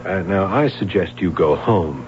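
A low-voiced, halting police sergeant. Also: male voice, lively intonation. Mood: placating. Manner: halting, tactful, official. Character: weary, hesitant police sergeant on night desk. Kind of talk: 1970s radio drama acting. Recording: noisy, dull old radio broadcast.

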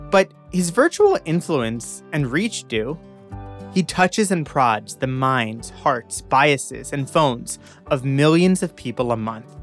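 A young man hosting a podcast, giving a measured, reflective narration and then interviewing a guest. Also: mid-range voice. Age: young adult. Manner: reflective, measured delivery with dramatic pauses. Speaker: podcast host.

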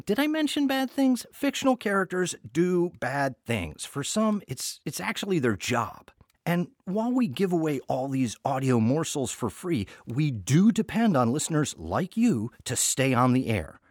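Male podcast host, very animated pitch, mid-range voice, dry close sound, chatty scripted delivery, wry asides. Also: dry recording. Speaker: artistic director and podcast host.

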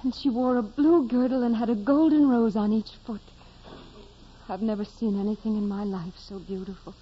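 Devout young peasant girl, high and earnest, vintage radio drama acting, muffled old broadcast. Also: fairly even intonation, moderate pace, husky. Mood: hushed wonder. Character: gentle, devout, sickly young French peasant schoolgirl. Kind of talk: 1940s-1950s radio acting. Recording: dull old radio broadcast recording with music.

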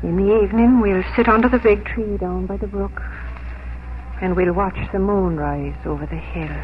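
Gentle, firm young unmarried daughter, played by a woman, earnest and composed, on a muffled radio recording with faint noise. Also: medium pitch, moderate pace, natural voice. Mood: dreamy. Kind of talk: 1950s radio drama acting.

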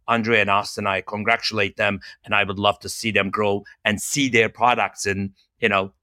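Podcast guest, male, upbeat, bright tone, pitched a bit low. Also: dry recording, studio quality. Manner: upbeat, many "uh" pauses, nonnative phrasing. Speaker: director of a university hospitality incubator, guest. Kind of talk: podcast interview.

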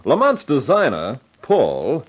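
A man's voice: medium pitch, wildly swooping pitch, slow, slightly rough, exaggerated voice. An actor playing a wry, flippant advertising man who narrates a 1950s radio crime drama. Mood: wry, pleased. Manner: wry, flip, hardboiled.

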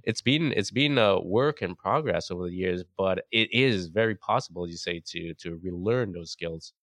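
A man with a very low voice speaks earnestly at an even, measured pace. The sound is close and dry, with no room around it. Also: no room sound. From Trinidad. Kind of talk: casual podcast chat.

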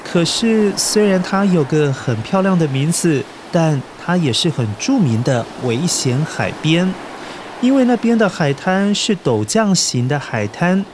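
A man's voice: a little high, big pitch swings, a little slow, background noise. A man with a Taiwanese Mandarin accent hosting a children's story podcast, explaining things simply and coaxingly to young listeners.

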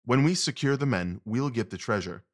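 An actor playing a confident, commanding gentleman detective, wry and precise, in an audio drama. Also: mid-range voice, lively intonation, moderate pace, natural voice. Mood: commanding, brisk.

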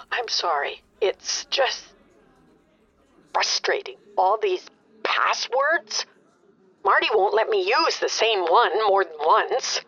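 A woman's voice: mid-range voice, big pitch swings, slow, bright, forceful tone, slightly rough, muffled recording, exaggerated voice. A woman playing an anxious, exasperated older mother on a customer call in a comedy audio drama, fretful and emphatic. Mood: weary, frustrated. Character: anxious, exasperated older mother calling about her bill.

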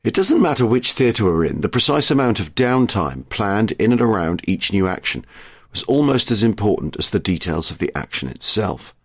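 A man's voice: fairly low pitch, very animated, moderate pace, breathy edge, dry recording, studio quality. A man co-hosting a history podcast, giving explanatory narration. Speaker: podcast co-host.

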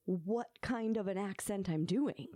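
A woman's voice: mid-range voice, a little slow, warm tone, dry recording. A woman co-hosting a podcast with casual, giggly, self-deprecating banter and informal phrasing. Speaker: podcast co-host.

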